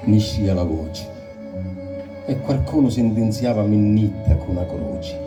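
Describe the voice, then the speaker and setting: A man's voice: fairly low pitch, measured pace, distant mic, music in the background. A man with a Sicilian accent giving a solemn, declamatory recitation of a dramatic poem. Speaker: poetry reciter.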